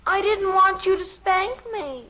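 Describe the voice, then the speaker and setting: A woman's voice: very high-pitched, a little slow, bright, forceful tone, highs cut off, heavily acted. A woman acting a plaintive, earnest little American girl who fibs, in a 1950s radio sitcom. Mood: sheepish. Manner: plaintive, childlike. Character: little girl, earnest, evasive fibbing daughter. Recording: old radio recording, faint noise.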